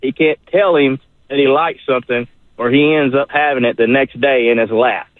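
A man's voice: mid-range voice, steady tone, moderate pace, dry recording. A man telling stories casually in a folksy, colloquial way as a caller to a radio show. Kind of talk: casual storytelling. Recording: tinny phone line.

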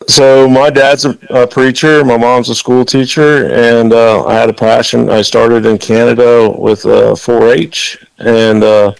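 Guy from the dominican republic, gravelly low voice, dry sound, lots of uhs. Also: level tone, unhurried, hardly any pauses, no room sound, poor audio. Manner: earnest, many fillers. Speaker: guest farmer. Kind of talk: radio interview.

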